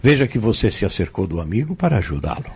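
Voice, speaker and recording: A man with a Brazilian accent playing a calm, kindly pharmacist who teaches young men in a measured, solemn way in an audio drama. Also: fairly low pitch, slightly rough, dull audio, full character voice. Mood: solemn, teaching.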